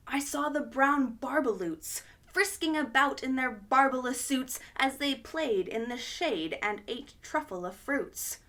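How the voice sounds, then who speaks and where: A woman reads a children's picture book aloud, expressive and animated, gasping with wonder at times. Her fairly high voice rises and falls widely. She takes each line slowly. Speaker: children's storytime host.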